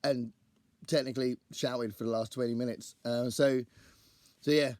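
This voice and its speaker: Male book vlogger, croaky and strained, medium pitch, dry close sound, chatty and digressive. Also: steady tone, moderate pace, darkish tone, dry recording. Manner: chatty, rambling, thinks aloud. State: croaky voice after a singing gig. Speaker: book channel host. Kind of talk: casual to-camera book chat.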